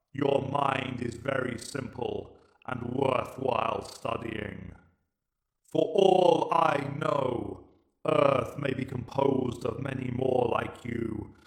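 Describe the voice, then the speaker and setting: A slow, cold machine emperor. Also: male voice, medium pitch, vocal fry, roomy sound, low-quality recording.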